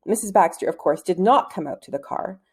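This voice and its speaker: A woman reading a children's storybook aloud as narrator, in an expressive style. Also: on the low side, a little fast, husky.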